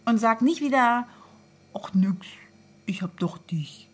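An actress in a German radio play, playing a quick-witted, affectionately mocking girlfriend in her thirties with a husky, breathy voice. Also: on the high side, animated, heavily acted. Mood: tender, mocking. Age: 30s.

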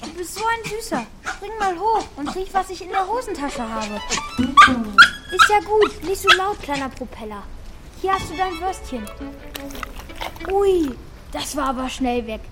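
High-voiced curious young child. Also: female voice, lively intonation, measured pace, pauses often, loud music behind the voice. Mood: playful, affectionate. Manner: earnest, questioning, childlike. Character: curious, warm-hearted young child Mika, fond of dogs. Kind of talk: German children's radio play acting.

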